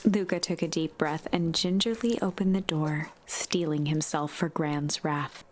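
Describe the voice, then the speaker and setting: A woman voicing a deliberate storybook narrator for a mystery game, reading scripted lines with dramatic pauses. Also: deep voice, fairly even intonation, slight background noise. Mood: solemn. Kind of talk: scripted narration read aloud.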